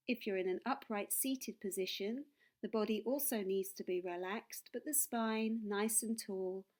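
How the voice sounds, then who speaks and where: A woman leading a guided meditation as a teacher, speaking in a calm, soothing and deliberate way with pauses between phrases. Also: medium pitch.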